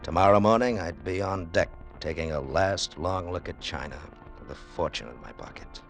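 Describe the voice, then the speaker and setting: Deep-voiced, cool radio adventurer. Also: male voice, normal speed, a bit bright, soft background music. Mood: wry, reflective. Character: cool, commanding, wry adventurer hero. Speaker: lead character and narrator. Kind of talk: 1940s-50s radio drama acting.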